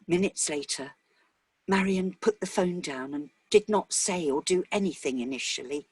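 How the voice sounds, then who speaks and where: A woman's voice: lowish voice, normal speed, a little mellow, slightly rough, no echo. A woman reading a dramatic short story as scripted narration.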